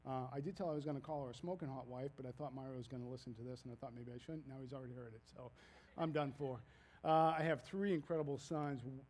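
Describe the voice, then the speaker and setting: A man in his fifties with a Maine accent preaches in a loose, storytelling way, circling back and restarting his sentences. His voice sits a little high yet sounds mellow and warm. He pokes fun at himself as he goes. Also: rough recording. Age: 50s. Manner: rambling, folksy, self-deprecating, frequent restarts. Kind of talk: casual sermon storytelling.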